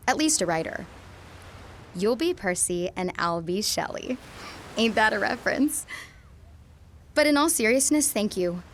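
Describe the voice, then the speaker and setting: A woman's voice: mid-range voice, a little hiss or hum, close to an everyday voice. An actress playing a young woman, tender and teasing, recalling a first kiss in a radio drama. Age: young adult. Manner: breathless, giddy, teasing and tender.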